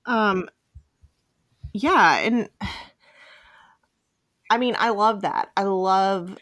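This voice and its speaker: A woman's voice: medium pitch, extremely slow, a bit bright. A woman hosting a casual podcast chat, speaking in an enthusiastic, emphatic and informal way. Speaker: podcast host.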